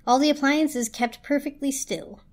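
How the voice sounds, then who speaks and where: A woman's voice: high-pitched, moderate pace. A woman narrating a children's story as a dramatic reading.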